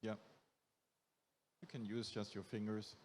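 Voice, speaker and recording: A man's voice: lowish voice, flat delivery, measured pace, darkish tone, a little hiss or hum. A man presenting live on a conference stage, upbeat and coaxing audience volunteers up front.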